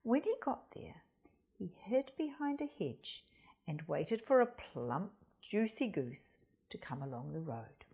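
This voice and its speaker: A woman reading a children's story aloud as a school storyteller, expressive and deliberate, speaking directly to young listeners. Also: lowish voice, husky. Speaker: storytime reader at a primary school.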